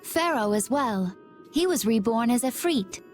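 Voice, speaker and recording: A woman playing a composed, knowledgeable young warrior who speaks calmly and articulately in a video game cutscene. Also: a little high, a little slow, soft background music.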